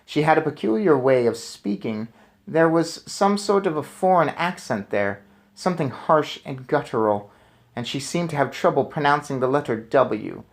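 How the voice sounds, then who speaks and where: A man reading a children's book aloud as a storyteller, with expressive, deliberate phrasing. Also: fairly high pitch. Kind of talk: expressive children's book narration with casual asides.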